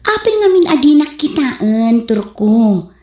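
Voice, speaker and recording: High-voiced flirty village maiden. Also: female voice, very animated, measured pace, warm tone, roomy sound. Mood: flirtatious, coaxing. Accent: Ilocano. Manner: coy, teasing, coaxing. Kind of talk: Ilocano radio comedy drama acting.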